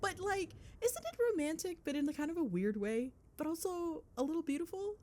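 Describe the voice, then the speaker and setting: A woman's voice: very high voice, animated, a little fast, darkish tone. A woman playing a chatty, gossipy girlfriend in a casual ASMR phone-call roleplay, with playful, sweary, dramatic griping. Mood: wistful, teasing. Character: chatty, gossipy girlfriend venting on the drive home.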